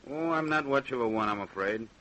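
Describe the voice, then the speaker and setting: A man's voice: mid-range voice, fairly quick, sharp tone, close to an everyday voice. A man playing a guarded, evasive doctor with murder to hide, speaking tersely in an old radio crime drama. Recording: hissy, crackly old radio recording.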